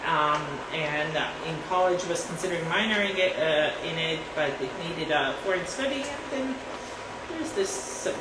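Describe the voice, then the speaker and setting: Guest, woman, 40s, Latvian accent, lowish voice, echoey distant room sound. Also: expressive, moderate pace. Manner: laughs often, restarts sentences. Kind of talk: casual chat. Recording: echoey room from far off, background noise.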